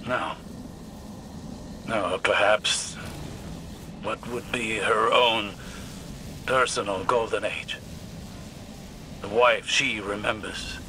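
A man playing a solemn, learned older warlock in a video game, measured, grave and deliberate. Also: fairly low pitch, fairly even intonation, pushed and bright, slight background noise, low-quality recording. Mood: somber. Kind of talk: dramatic video game dialogue. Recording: music playing behind.

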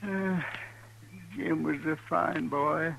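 An actor playing a frightened, simple-minded old ranch hand in a western radio drama, halting and timid. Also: male voice, a little high, fairly even intonation, vocal fry, a bit bright, full character voice. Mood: timid, mournful. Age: older. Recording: noisy 1950s radio recording with hum.

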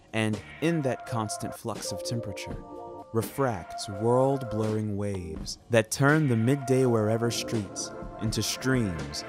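A man narrates a scripted story in the first person. His voice sits on the low side and moves slowly, with ornate, deliberate phrasing. Music plays clearly behind him. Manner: deliberate, ornate, measured delivery. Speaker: narrator of a serial audio story.

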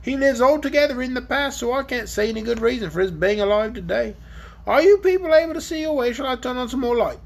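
A woman voicing a gruff, boastful young male dragon in a storybook reading. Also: fairly high pitch, lively intonation, fast, voice pushed up. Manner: sneering, blunt. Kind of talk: audiobook character reading.

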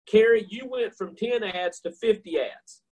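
A man's voice: high voice, measured pace, darkish tone, dull audio. A man in his forties hosting a video call, making blunt, emphatic coaching points from a room at home. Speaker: host. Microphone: video call laptop mic.

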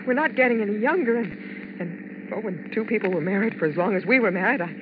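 A woman's voice: medium pitch, lively intonation, background noise, natural voice. Actress as a pleading, frightened showgirl wife. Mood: anxious pleading. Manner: pleading, halting, repeating herself. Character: frightened, clinging Las Vegas showgirl wife. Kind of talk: 1950s radio drama acting. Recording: dull 1950s radio broadcast with music.

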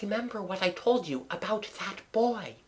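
A storybook reader voicing a gentle, anxious mother in a hushed, entreating way. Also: high voice, wildly swooping pitch, takes it slowly, voice pushed up, heavily acted. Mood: whispered pleading.

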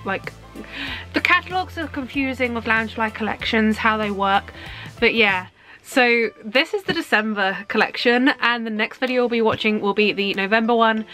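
A young woman, chatting brightly through an unboxing in a small room, her talk bubbly with squeals and laughs. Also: a little high. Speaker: vlogger. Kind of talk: casual unboxing chat. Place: small indoor room with collectible shelves. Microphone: camera at desk distance.

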